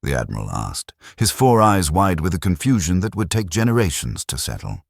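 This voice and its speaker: A man narrating a science fiction audiobook in a grave, dramatic storytelling manner, with a husky voice. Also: deep voice, big pitch swings, fairly quick, dry recording, clean studio sound.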